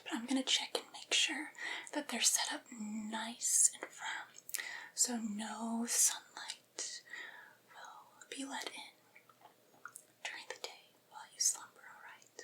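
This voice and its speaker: A woman performing an ASMR roleplay as a doting, patient familiar, soothing and coaxing in a gently reassuring way. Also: a little high. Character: doting, patient female vampire's familiar soothing their master. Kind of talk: whispered ASMR personal-attention roleplay.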